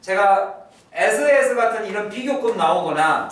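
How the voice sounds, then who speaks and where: A male English teacher giving an exam prep lecture, repeating key phrases slowly and drilling his students. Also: high voice, animated, a bit bright, very echoey, recorded from a distance.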